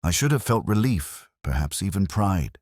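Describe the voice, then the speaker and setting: A man reading a scripted first-person detective story in a measured, brooding, reflective way. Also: low voice, very animated, a little mellow, husky, no echo, studio quality. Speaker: narrator.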